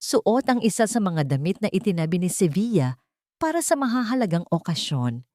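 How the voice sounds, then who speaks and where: Expressive low-voiced woman storyteller. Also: fairly quick, no room sound, clean studio sound. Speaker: narrator. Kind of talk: dramatic scripted story narration.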